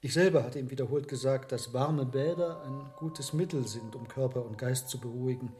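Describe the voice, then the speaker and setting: Sober, learned monk physician played by an actor. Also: male voice, mid-range voice, a little mellow, faint music behind, close to an everyday voice. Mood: sober, thoughtful. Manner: matter-of-fact, deliberate. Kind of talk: radio drama acting.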